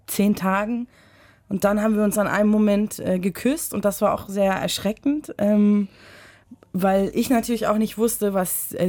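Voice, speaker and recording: A young woman, a radio interview guest, speaking in a reflective, measured way with frequent "uh" and "um". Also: mid-range voice, mellow, no echo. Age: young adult. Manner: reflective, measured, many fillers. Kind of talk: casual chat. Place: radio studio.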